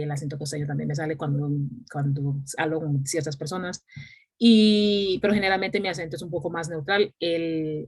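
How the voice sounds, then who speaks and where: A woman from San Andrés, Colombia, whose first language is Creole English, speaking Spanish with a fairly neutral island accent while teaching an English class online. She talks in a measured way with frequent fillers. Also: deep voice, husky.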